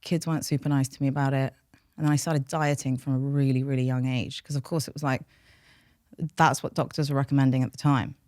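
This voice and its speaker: A woman's voice: very deep voice, level tone, quick pace, a little mellow, no room sound, clean studio sound. A woman from North London, a native Farsi speaker with a London accent, talking openly and reflectively about herself in an interview. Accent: London English. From North London, England. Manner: open, reflective. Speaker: podcast guest, behaviour change specialist. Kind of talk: candid personal interview.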